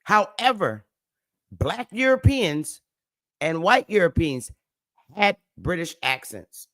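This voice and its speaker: Woman, Northern US accent, livestream host, slow deliberate pace, lively rising and falling pitch, dry close sound. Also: no echo. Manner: preachy, emphatic, repeats points and asks chat to respond. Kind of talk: livestream talk to viewers.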